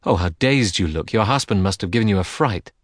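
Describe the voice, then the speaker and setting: A man's voice: on the low side, lively intonation, brisk, no room sound, studio quality, close to an everyday voice. A man voicing an anxious, sympathetic goddess in an audiobook, exclaiming with concern. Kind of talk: dramatic audiobook character reading.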